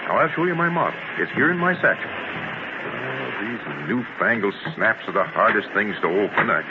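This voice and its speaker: An actor playing an eager, folksy, persuasive inventor in a 1930s radio drama. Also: male voice, on the low side, lively intonation, moderate pace, gravelly. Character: eager, folksy, persuasive male inventor pitching his creation. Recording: muffled, noisy 1930s radio transcription.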